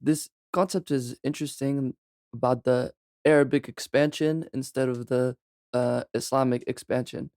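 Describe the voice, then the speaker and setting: A man hosting a podcast, asking thoughtful, halting questions with fillers. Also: medium pitch, fairly even intonation, darkish tone, no echo, clean studio sound. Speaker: podcast host. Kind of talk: interview questions.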